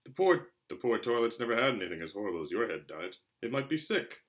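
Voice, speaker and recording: A man's voice: medium pitch, big pitch swings, fast, lightly acted. A man voicing a put-upon young boy with dry, understated cheek in a storybook reading. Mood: quiet, dry.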